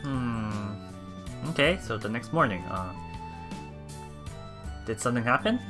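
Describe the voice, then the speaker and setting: A man hosting a let's play, reading game text aloud and commenting casually in a halting, think-aloud way. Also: medium pitch, animated. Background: game music.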